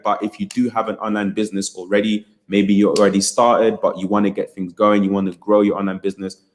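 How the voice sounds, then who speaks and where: A young British man coaching on a livestream, chatting casually to the viewers in the chat, encouraging them and repeating his phrases with plenty of fillers. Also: on the low side. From United Kingdom. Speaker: business coach hosting a livestream.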